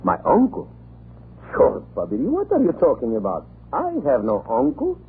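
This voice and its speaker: An actor playing a talkative, irreverent Russian government official in a radio drama, chatty, excitable and theatrical. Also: male voice, mid-range voice, moderate pace, breathy edge, exaggerated voice. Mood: scornful bewilderment. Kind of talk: 1940s radio drama acting. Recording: muffled, noisy old radio recording.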